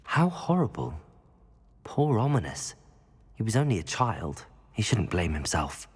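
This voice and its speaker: A husky, inquisitive student wizard. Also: male voice, fairly low pitch, sing-song, measured pace, full character voice. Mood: sympathetic. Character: curious, sympathetic, brave student wizard hero. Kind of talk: video game cutscene acting. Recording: hall reverb, music underneath.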